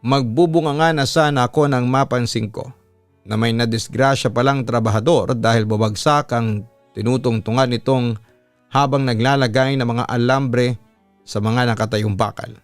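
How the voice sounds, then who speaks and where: A man's voice: mid-range voice, steady tone, normal speed, dry recording. A man with a Filipino accent reading a listener's letter as scripted narration, like a radio announcer telling a story. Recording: music playing behind the voice.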